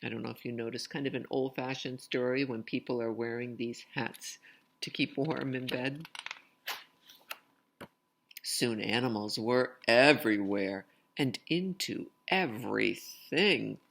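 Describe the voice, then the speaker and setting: A woman's voice: very deep voice, unhurried, creaky, a bit bright. A woman storyteller reading a children's picture book expressively, with chatty asides to young listeners. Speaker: read-aloud storyteller.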